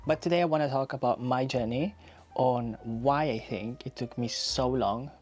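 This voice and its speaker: Man in his thirties, vlogger, reflective and encouraging, mid-pitched voice, faint music underneath. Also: normal speed, darkish tone. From Spain. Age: 30s. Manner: chatty, reflective, encouraging, repeats phrases for emphasis. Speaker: YouTuber. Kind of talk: casual vlog talking to camera.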